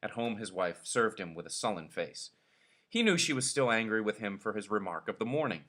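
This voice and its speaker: A man's voice: fairly low pitch, expressive, a little fast, fairly bright tone. A man narrating a short story expressively, as a reader telling it aloud.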